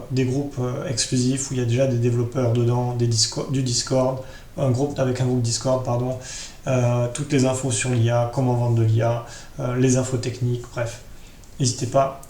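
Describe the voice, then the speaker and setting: Man, tutorial host, casual step-by-step delivery, slightly low voice, roomy echo, some background noise. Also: a little mellow. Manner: informal, step-by-step explaining, addressing viewers directly. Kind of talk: casual screen-share tutorial talk.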